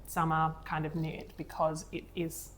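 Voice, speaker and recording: A woman's voice: deep voice, monotone, measured pace, a little hiss or hum. A young woman co-hosting a knitting podcast, talking enthusiastically and rambling with lots of restarts. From Australia. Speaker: podcast co-host. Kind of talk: casual chat.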